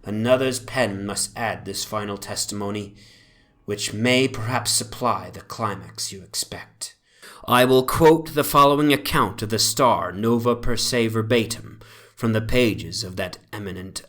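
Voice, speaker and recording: A man giving a dramatic, grave and formal narration of a gothic horror story in measured phrases. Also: lowish voice, a bit bright.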